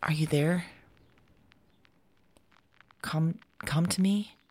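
A woman performing a lonely, frightened woman in an audio drama, speaking haltingly and pleading. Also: deep voice, darkish tone, heavily acted. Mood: panicked, pleading. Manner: halting, hesitant, trailing off. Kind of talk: solo audio drama monologue.

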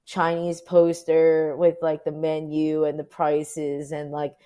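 A woman's voice: low-pitched, completely flat delivery, slow pace, mellow. An American woman being interviewed as a photographer, talking casually and informally, thinking aloud as she goes. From Orange County, United States.

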